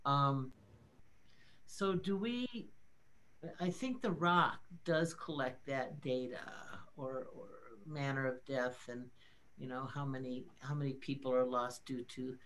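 An older woman, a city councilor, making halting, unscripted remarks in a formal meeting held by video call. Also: very deep voice, a bit bright, a little hiss or hum. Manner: hesitant, halting. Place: small indoor office on a video call.

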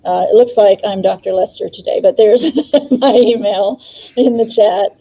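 A woman's voice: medium pitch, a little slow, vocal fry, warm tone, husky, low-quality recording. A woman in her fifties, co-hosting a live online garden clinic over a video call, chatting casually with frequent ums and little laughs. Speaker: co-host, extension educator. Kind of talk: casual live-stream chat.

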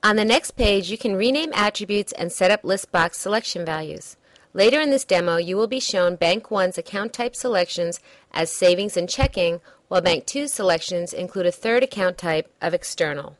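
A woman reading a scripted software demo narration in a measured, instructional way. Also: medium pitch, animated, no echo.

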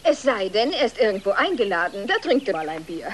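A woman playing a strict, proper farm wife and mother in a German-dubbed children's film, speaking firmly and briskly. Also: mid-range voice, sing-song, natural voice.

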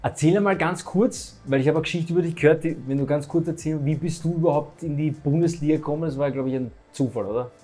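Fast-talking Austrian interviewer, mellow voice. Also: male voice, on the high side. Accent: Austrian German. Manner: quick, joking, pushes with follow-up questions. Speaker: sports show reporter, interviewer. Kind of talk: casual chatty interview. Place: football club café with shelves, indoors.

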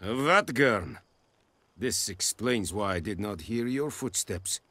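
Low-voiced foreign army commander. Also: male voice, lively intonation, bright, forceful tone, no echo, exaggerated voice. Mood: measured. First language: not English. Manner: measured, formal, precise. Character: stern, formal foreign male army commander. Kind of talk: video game dialogue acting.

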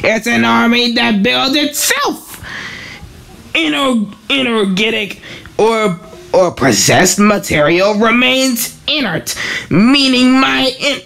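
A woman voicing a pompous, gloating male mad scientist with a creaky voice in an amateur comic dub. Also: a little high, expressive, slow pace, fairly bright tone, faint music behind, exaggerated voice. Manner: pompous, commanding, taunting.

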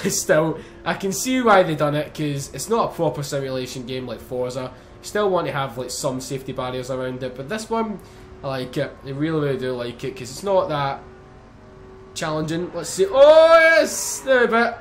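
A young man chatting casually over racing gameplay, excitable, swearing and joking. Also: a little high. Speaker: gaming YouTuber. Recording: faint game engine noise behind.

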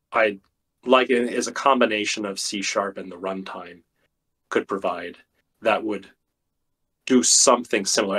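Low raspy guy talking runtime stuff, kinda losing his voice, pauses and ums a lot. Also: fairly bright tone. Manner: thoughtful, many fillers and restarts. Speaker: software engineer guest. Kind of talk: technical interview talk.

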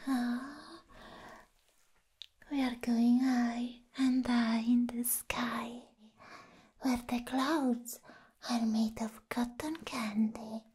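A woman voicing a mischievous, doting madcap villainess in a breathy, slow ASMR roleplay whisper. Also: fairly high pitch, steady tone, a little mellow. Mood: giddy, dreamy. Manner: slow, dreamy, teasing. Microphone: close microphone.

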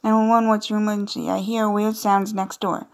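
Woman playfully commentating a game, creaky voice. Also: medium pitch, level tone, brisk. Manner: playful, chatty, amused asides. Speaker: gaming video creator. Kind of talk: casual gameplay commentary, reading on-screen dialogue aloud.

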